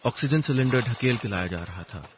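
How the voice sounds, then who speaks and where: A man narrating a first-person story in a wistful, reflective manner, with poetic phrasing and pauses. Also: mid-range voice, animated, slight background noise. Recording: dull lo-fi audio, music underneath. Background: music.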